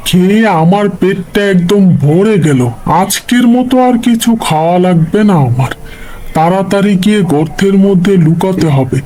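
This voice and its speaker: A man's voice: high voice, mellow, slight background noise, close to an everyday voice, low-quality recording. A man voicing a sly, greedy predator animal in a children's cartoon, gloating and scheming.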